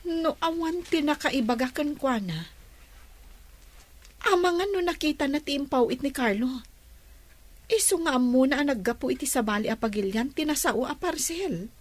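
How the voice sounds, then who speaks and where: A woman's voice: sits high, animated, normal speed, long pauses. An actress playing an earnest, stubborn young woman in love in a radio drama. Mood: insistent.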